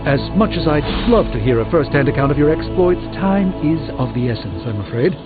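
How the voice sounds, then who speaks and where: A proud, learned sorcerer played by a man speaks in formal, elaborate sentences with dry wit and a fairly high male voice. It is video game dialogue, heard through a thin, narrow-band recording with music clearly behind it. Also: animated, a little fast, natural voice. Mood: grave, urgent. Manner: formal, wordy, self-important. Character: proud, erudite male sorcerer, dryly witty. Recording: thin band-limited audio under loud music.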